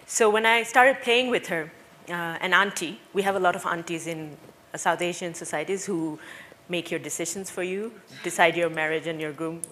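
A woman's voice: lowish voice, normal speed, a bit bright, a little hiss or hum. A woman from Bangladesh, in her thirties, giving a personal talk on stage before an audience, earnest and reflective with frequent hesitations. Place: stage before a seated audience in a dark hall. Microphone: headset mic.

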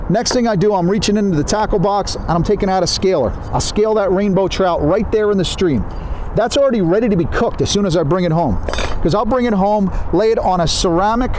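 A man in his fifties chats about fishing outdoors beside a stream, his voice pitched high for a man. He rambles in a friendly, folksy way, stumbling and restarting as he goes. Faint open-air ambience sits under his voice. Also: a little mellow, a little hiss or hum. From New Jersey. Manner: chatty and folksy, with frequent fillers and restarts. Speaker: fishing magazine editor giving a fishing report. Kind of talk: casual outdoor fishing report. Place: outdoors by a stream on a sunny winter day.

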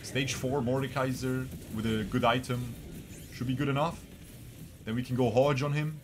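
A man's voice: medium pitch, fairly even intonation, moderate pace, background noise. Chatty young man streaming a game. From Bosnia, raised in Switzerland. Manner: chatty, talks to his viewers, repeats himself. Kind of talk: live gameplay commentary. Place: small room indoors.

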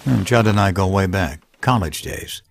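A man's voice: deep voice, moderate pace, creaky, no echo. A man playing an older billionaire adventurer in an audio drama, wry, skeptical and bantering. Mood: amused, musing. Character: aging billionaire tycoon adventurer, wry, skeptical, easily charmed.

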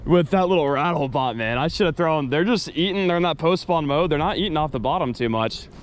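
Young male fishing vlogger, high-pitched and excitable, outdoor pond with faint background noise, body cam. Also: a little fast. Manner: excitable, laughs often, talks to viewers. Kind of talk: casual vlog commentary. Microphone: body-worn action camera.

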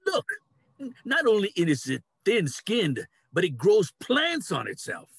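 An older man playing a large, weary walrus who grumbles deliberately in a play reading over a video call. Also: sits high, huge pitch swings, vocal fry, husky, no room sound, studio quality, heavily acted. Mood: curious. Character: large, weary old walrus on an animal council. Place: small cluttered room at home.

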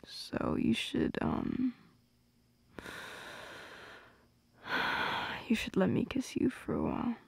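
A woman playing an affectionate, teasing young girlfriend in an ASMR roleplay, intimate and often breathy. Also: on the high side, slow pace, no echo, exaggerated voice. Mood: tender, coaxing. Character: playful, affectionate young girlfriend on a night drive.

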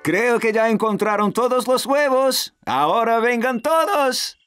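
High, lively cartoon announcer. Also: male voice, a little slow, over music. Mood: friendly. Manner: announcing to a group. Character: jolly grown-up organiser of children's games. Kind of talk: children's cartoon dubbing.

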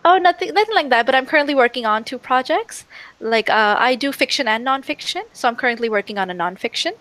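Soft-voiced young Canadian woman on a headset. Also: high-pitched, expressive, quick pace. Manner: giggly, eager, repeats yes and like. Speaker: audiobook narrator. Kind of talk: casual interview on a video call. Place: small room indoors. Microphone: headset mic.

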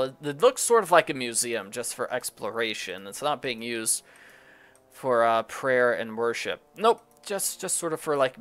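A man's voice: on the high side, pushed and bright. A man giving casual, jokey game walkthrough commentary, musing aloud as he plays.

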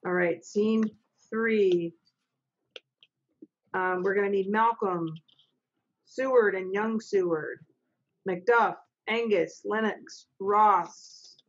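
A woman's voice: medium pitch, slow, long pauses. Muffled call audio, middle-aged woman, informal stage manager, plain instructive delivery, reading directions. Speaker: stage manager and narrator of a readers' theater. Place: small home office. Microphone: video call, computer microphone. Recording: dull, lo-fi video call audio.

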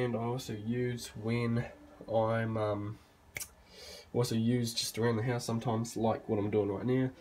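A young man giving a casual, slightly hoarse tour of his bedroom gaming setup, swearing offhandedly, filmed on a handheld phone. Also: mid-range voice, darkish tone, slight background noise. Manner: laid-back, swears casually, rambling asides. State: losing his voice, clearing throat. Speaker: gaming channel host. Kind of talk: casual room tour vlog. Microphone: handheld phone camera.

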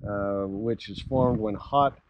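A middle-aged American man hosting a travel vlog outdoors, giving casual, halting tour commentary to a camera a few feet away. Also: on the low side, dull audio. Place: outdoors in open desert. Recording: outdoor camera audio with clear background noise.

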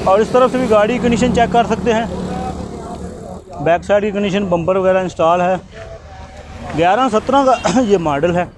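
High-voiced man doing car walkaround. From Pakistan. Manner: brisk, repetitive salesman patter. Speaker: used-car video host. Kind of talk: walkaround car sales commentary. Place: outdoor roadside car bazaar. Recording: noisy street outdoors.

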